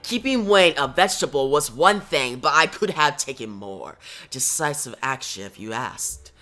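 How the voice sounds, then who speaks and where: A man's voice: mid-range voice, lively intonation, normal speed, sharp tone. A man voicing a callous prison doctor with a formal, sardonic manner in a comic-book story retelling. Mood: smug, callous. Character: callous, smugly polite male prison doctor.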